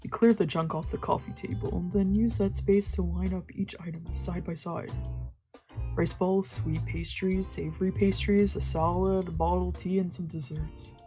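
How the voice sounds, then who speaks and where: Low, mellow-voiced woman narrating a story. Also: fairly even intonation, moderate pace. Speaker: streamer reading a visual novel aloud. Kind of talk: dramatic read-aloud narration with casual reactions. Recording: faint background music.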